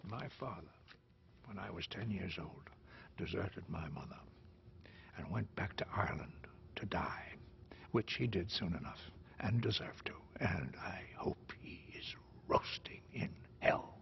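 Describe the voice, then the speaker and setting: A man acting a bitter, proud, ageing actor father, miserly and regretful, in a husky, gravelly theatrical monologue. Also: on the low side, animated, measured pace, pauses often, slight background noise. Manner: theatrical, swinging between hushed and declamatory. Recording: dull, lo-fi sound.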